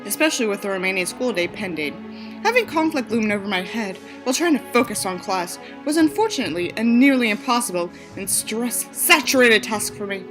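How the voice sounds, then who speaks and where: Bright, sarcastic woman reading an audiobook. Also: mid-range voice. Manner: sarcastic, sweary, theatrical. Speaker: audiobook reader and commentator. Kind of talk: scripted narration with sarcastic asides.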